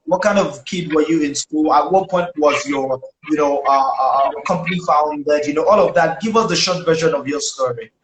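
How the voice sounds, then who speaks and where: A man's voice: a little high, normal speed, hardly any pauses, a bit bright. A man in his thirties hosting a conversational live online interview over a video call. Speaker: show host, interviewer. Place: small indoor room. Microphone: close phone or webcam with wired earphones on video call. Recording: roomy echo, faint noise, clicks.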